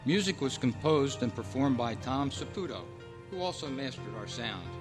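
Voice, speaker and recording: Male radio announcer over clear music. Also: medium pitch, animated, moderate pace. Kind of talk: scripted old-time radio announcing, reading credits.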